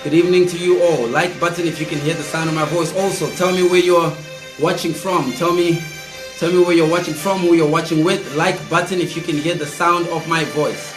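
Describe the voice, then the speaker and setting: A man in his thirties hosting a live political commentary stream, slightly hoarse, chatty and speaking straight to viewers. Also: fairly high pitch, fairly even intonation, fairly quick, music in the background. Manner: chatty, addresses viewers directly, repeats calls. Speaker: host of an online political show. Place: indoor room, seated at a table. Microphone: fixed camera, mid-distance. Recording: roomy echo.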